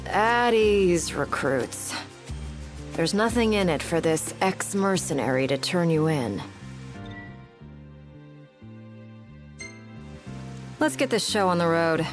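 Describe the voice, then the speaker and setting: A cool, confident game mercenary with a deep female voice. She commands casually and with wry ease, brisk and unimpressed, over faint music. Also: expressive. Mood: wry, commanding. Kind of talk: video game cutscene acting.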